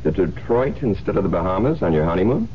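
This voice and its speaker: A genial, self-assured young husband and doctor in a radio play. His voice is lowish with lively inflection, on a dull, humming old recording. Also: fast, slight background noise. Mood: genial, amused. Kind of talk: 1970s radio drama acting.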